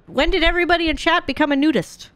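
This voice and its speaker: A woman's voice: sits high, steady tone, quick pace, a bit bright. A woman streamer giving casual, playful live gameplay commentary, chatting along and answering her viewers. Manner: chatty, playful, reading and answering chat aloud.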